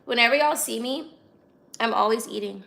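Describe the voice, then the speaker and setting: Raspy young American woman, casual livestreamer. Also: a little high, normal speed, a bit bright. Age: 20s. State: slightly hoarse voice. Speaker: livestream host.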